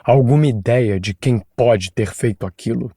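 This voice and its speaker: A man voicing an insistent police chief who questions a witness in a firm, measured way. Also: medium pitch, mellow, no room sound, studio quality, voice pushed down, lightly acted. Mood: probing.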